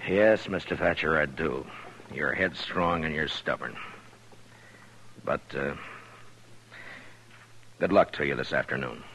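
A deep-voiced stern frontier marshal. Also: male voice, unhurried, pauses often, fairly bright tone, heavily acted. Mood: grave. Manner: firm, terse, authoritative. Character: stern, level-headed Old West town marshal. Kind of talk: 1950s radio western acting. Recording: noisy vintage radio recording.

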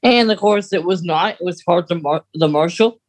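Higher-pitched man chatting casually. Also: brisk. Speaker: podcast co-host. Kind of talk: casual podcast chat.

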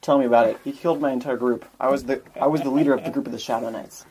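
A woman's voice: a bass voice, fairly even intonation, racing, crowd noise, low-quality recording. A woman talking casually in character during a tabletop role-playing game.

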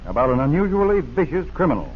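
A man's voice: on the high side, very animated, quick pace. A man hosting a formal, scripted 1940s radio interview as a colonel. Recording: dull, hissy 1940s radio broadcast.

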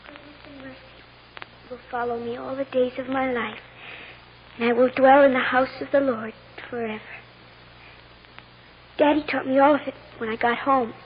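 A woman's voice: high voice, fairly even intonation, normal speed, long pauses, lightly acted. An actress playing an innocent, trusting little girl in a 1940s radio drama, simple and hesitant. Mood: innocent, earnest. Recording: muffled, noisy old radio recording.

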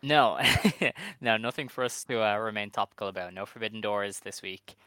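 Smooth, bright-voiced male podcast host. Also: lowish voice, normal speed, no echo. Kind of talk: casual chat.

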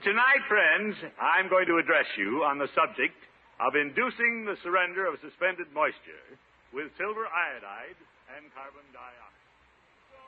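An actor playing a pompous, self-satisfied middle-aged water commissioner in 1950s radio comedy style, theatrical and given to chuckling. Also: male voice, fairly high pitch, lightly acted. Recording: noisy, narrow-band 1950s radio recording.

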